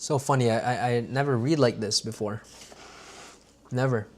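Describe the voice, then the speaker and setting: A man's voice: medium pitch, moderate pace. A man reading a self-help book aloud on a livestream, with stumbles and restarts and casual asides to his viewers.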